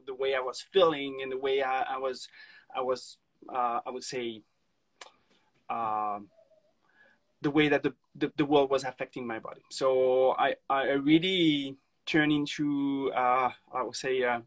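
A man in his thirties from France with a French accent, a guest chatting on a video call, speaking in halting English with many restarts and small laughs. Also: medium pitch, a bit bright. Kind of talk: casual interview chat. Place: indoors, wooden room. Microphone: phone camera on video call.

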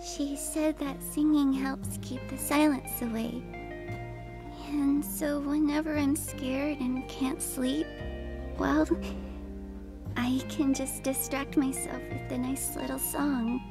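A woman voicing Cornelia, a gentle, dreamy young girl who talks softly and sometimes whispers, heard as game audio with music behind. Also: sits high, flat delivery, slow. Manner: soft, childlike, sometimes whispering. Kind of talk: voiced game dialogue.

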